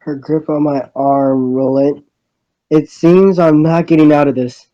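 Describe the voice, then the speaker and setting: A man reading a first-person visual novel story aloud, haltingly and with stumbles over the words. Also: fairly high pitch, steady tone, dark tone, dull audio.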